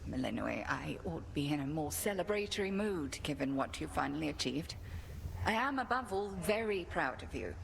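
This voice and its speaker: Game role: stern witch headmistress, very low and gravelly, haunted yet proud, archaic diction. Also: a little hiss or hum. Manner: grave, archaic, deliberate phrasing. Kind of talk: video game voice acting.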